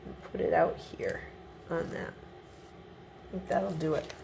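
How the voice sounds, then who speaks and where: Slow, gravelly-voiced woman teaching crafts. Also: low-pitched, very animated, background noise. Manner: chatty step-by-step instructions. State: sniffly from a cold. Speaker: card-making tutor. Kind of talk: relaxed craft tutorial. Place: home craft desk indoors. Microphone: overhead camera above the desk.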